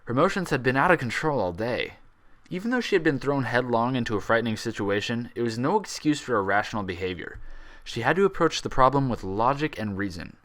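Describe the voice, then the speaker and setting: A man's voice: mid-range voice, a bit bright. A man reading a fan fiction story aloud in dramatic audiobook-style narration.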